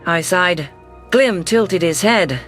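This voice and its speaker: High-voiced male narrator, science fiction. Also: lively intonation, slow, fairly bright tone. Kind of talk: scripted first-person science fiction narration. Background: faint music.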